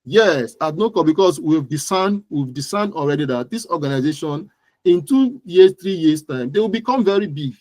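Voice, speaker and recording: A man's voice: fairly high pitch, animated, normal speed, darkish tone, dry recording. A man with a Nigerian accent answering as an interview guest, speaking conversationally and repeating phrases for emphasis. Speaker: interview guest, digital marketing entrepreneur. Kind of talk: conversational interview about own business.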